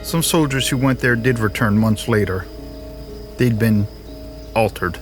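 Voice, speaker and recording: Man acting terse deadpan soldier over music. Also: on the low side, measured pace, lightly acted. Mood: grim. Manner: laconic, deadpan. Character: grim, terse male military sergeant, weary of undisciplined housemates. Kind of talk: audio drama acting.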